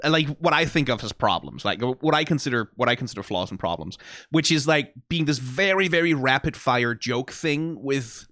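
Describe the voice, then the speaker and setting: A man's voice: medium pitch, animated, moderate pace, a bit bright, dry recording, clean studio sound. A man hosting a reaction video, giving rambling, analytical criticism full of "like" and "right?" with a wry, profane edge. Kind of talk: casual critical commentary.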